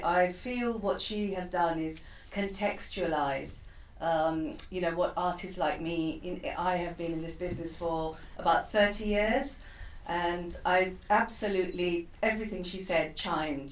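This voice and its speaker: A woman in her fifties, from East Africa, giving a keynote talk off the cuff, chatty and full of fillers and restarts. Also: fairly low pitch. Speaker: keynote speaker. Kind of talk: unscripted personal talk. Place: conference room. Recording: roomy, from a distant mic, faint noise.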